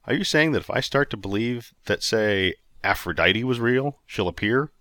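A man's voice: on the low side, lively intonation, moderate pace, bright, forceful tone, dry recording. A man reading the part of an impatient, sarcastic young man who wants to get ready for a date. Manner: dry, impatient.

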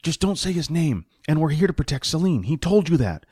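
A man's voice: on the high side, very animated, fairly quick, a little mellow, slightly rough, no room sound, pitched above the performer's own voice. A man voicing a pragmatic, money-minded hired hand in a fantasy audiobook, explaining things in impatient colloquial talk. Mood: secretive.

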